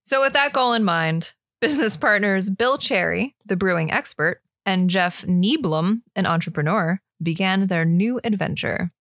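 A woman's voice: medium pitch, animated, moderate pace, few pauses, a bit bright, no echo, studio quality. A woman co-hosting a beer podcast, giggly, reading brewery facts in casual chat. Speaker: podcast co-host.

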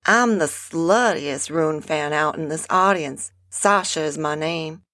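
A woman's voice: deep voice, slow pace, dry recording. A woman voicing a brash, flirty audience woman. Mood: brazen. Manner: bold, boastful and loud.

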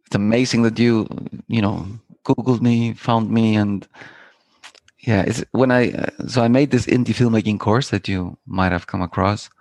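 A man's voice: fairly low pitch, fairly even intonation, normal speed, darkish tone, no room sound. A middle-aged man from Iceland, a filmmaker guest talking in a reflective, meandering, poetic way with many fillers and restarts. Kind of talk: reflective interview talk.

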